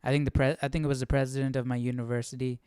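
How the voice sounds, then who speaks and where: A man's voice: mid-range voice, little pitch movement, very fast, mellow, clear, resonant tone, no echo, clean studio sound. A man hosting a livestream Bible study, chatting casually and thinking aloud with lots of questions. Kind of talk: casual, musing chat.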